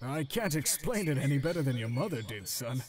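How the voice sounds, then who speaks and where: A man's voice: mid-range voice, lively intonation, a little fast, crowd noise, natural voice. A man voicing an easygoing, reassuring goat monster father in a cartoon comic dub, talking casually. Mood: explaining.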